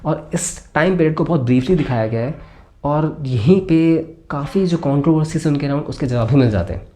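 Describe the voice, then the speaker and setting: A man with a mellow, relaxed voice and an Indian accent talks through a film review. He explains his points conversationally as the host, slipping into English phrases along the way. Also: a little high, fairly quick.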